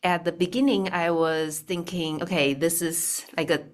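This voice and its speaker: A woman from Taiwan, a speech-language pathologist, talking through her story in a video call interview with many pauses and ums. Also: on the low side, steady tone. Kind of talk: casual interview talk. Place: bright room indoors.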